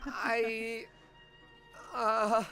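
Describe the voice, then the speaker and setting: A woman's voice: on the high side, completely flat delivery, extremely slow, vocal fry, sharp tone, faint music behind, exaggerated voice. An actress playing a humble, eager female drink vendor in a video game, deferential and easily flustered.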